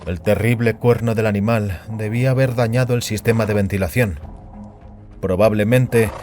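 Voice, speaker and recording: A man's voice: fairly low pitch, a little mellow. A man reading a science fiction story as a scripted, dramatic audiobook narration. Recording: music under the voice. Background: background music.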